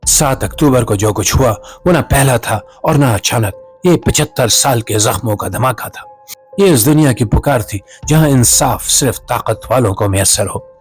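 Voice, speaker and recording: A man's voice: mid-range voice, normal speed. A man delivering scripted dramatic narration as a host, in a solemn, impassioned and rhetorical manner. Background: clear music bed under the voice.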